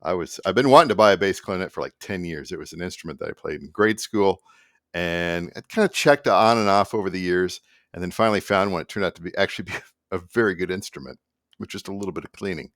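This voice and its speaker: A man's voice: sits low, fast, fairly bright tone, no echo, studio quality. A middle-aged man talking casually and loosely to camera as a musician, rambling in an easygoing way. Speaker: musician presenting own project. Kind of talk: casual behind-the-scenes vlog talk to camera. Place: small indoor home room at a computer.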